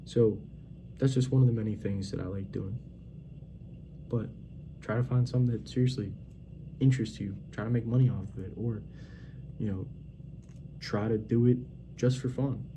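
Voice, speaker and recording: Young guy ranting advice, swears a lot, lowish voice, noisy room behind. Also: fairly even intonation, moderate pace, pauses often. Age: 20s. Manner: blunt, profane, rambling pep talk. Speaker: vlogger. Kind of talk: casual monologue to camera.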